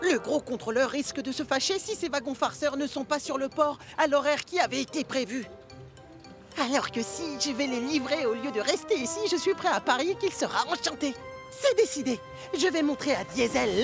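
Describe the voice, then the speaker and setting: Excitable, very high-pitched young cartoon train. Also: moderate pace, music in the background. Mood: determined, impatient. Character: eager, impatient young steam locomotive, plus giggling mischievous wagons. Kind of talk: French cartoon dubbing.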